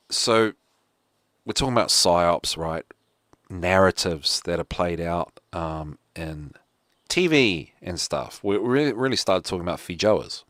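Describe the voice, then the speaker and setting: A man's voice: deep voice, a bit bright, no echo, studio quality. A man with a New Zealand accent hosting a casual solo talk show, rambling with lots of fillers and restarts. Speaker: podcast host.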